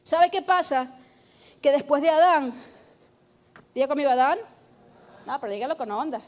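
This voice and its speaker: A woman pastor preaching a sermon, emphatic and loud, calling on the congregation to repeat after her. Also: high-pitched, lively intonation, normal speed. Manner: shouting, emphatic preaching with call-and-response.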